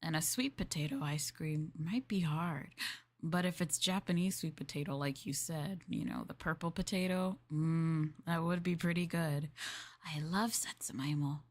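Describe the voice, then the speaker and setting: A woman streamer chatting casually in a maid roleplay, rambling and laughing softly as she addresses her master. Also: deep voice, normal speed. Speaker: VTuber streamer.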